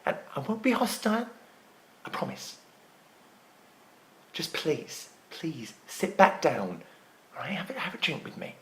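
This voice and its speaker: Man acting a desperate, animated theatre graduate. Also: high voice, full character voice. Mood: subdued begging. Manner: pleading, emphatic, halting repetition. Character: desperate, scornful theatre graduate pleading for a big break. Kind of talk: dramatic stage monologue.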